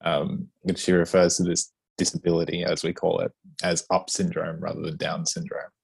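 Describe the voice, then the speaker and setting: A man hosting a casual interview, chatty and relaxed, with lots of fillers. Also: deep voice, moderate pace, creaky, slightly rough. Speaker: podcast host interviewing an author.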